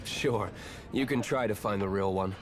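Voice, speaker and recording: An actor playing a stern, aloof, wealthy tavern owner in a video game, dry and composed. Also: male voice, on the low side, moderate pace, background noise, close to an everyday voice.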